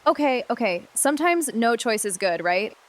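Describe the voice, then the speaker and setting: A woman playing a plucky amateur sleuth in an audio drama, with halting, wry banter and forced optimism. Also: a little high, lively intonation, natural voice. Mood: nervous banter. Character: plucky female amateur sleuth, jokey and reassuring in danger.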